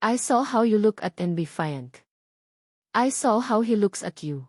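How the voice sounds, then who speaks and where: A woman playing a cold, menacing female boss in a narrated love story, speaking slowly and clearly. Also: mid-range voice, lively intonation, a little mellow, dry recording, clean studio sound. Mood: cold, threatening. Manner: slow, clear, deliberate delivery.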